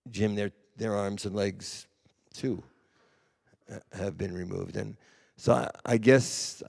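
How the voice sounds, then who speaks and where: A man speaks on a panel in a low, creaky voice that carries long hall reverb. He is earnest and deliberate, halting now and then as he makes his points. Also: darkish tone. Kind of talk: earnest panel remarks on war victims.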